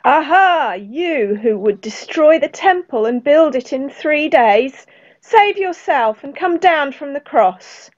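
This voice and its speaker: A young woman plays a jeering, mocking bystander in a scripture drama. The voice is high and unhurried, on a dull video-call recording. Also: expressive. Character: mocking passer-by jeering at a condemned man.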